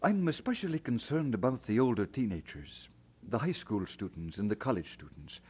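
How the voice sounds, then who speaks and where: An earnest, husky-voiced pastor. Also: male voice, on the low side, very animated, moderate pace, darkish tone. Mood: earnest, concerned. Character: Peter, earnest, warm Scottish-born pastor and husband. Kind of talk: radio drama acting.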